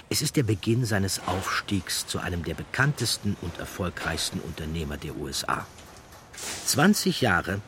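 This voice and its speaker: A man reads scripted documentary narration in a measured, articulate manner. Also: low voice, background noise.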